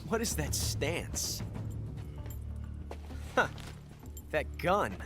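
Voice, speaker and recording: An actor playing a cocky young Soviet special forces officer in a video game, theatrical and taunting. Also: male voice, a little high, very animated, extremely slow, full character voice. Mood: mocking, menacing. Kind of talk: dramatic video game cutscene acting. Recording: loud music underneath.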